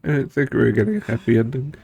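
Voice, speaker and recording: A streamer talking to viewers in an unscripted way, tearful, sniffling and choked up from crying, halting and at a loss for words. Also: medium pitch, sing-song, gravelly, warm tone, slightly rough. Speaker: streamer, game playthrough host. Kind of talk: emotional unscripted chat to viewers after finishing a game.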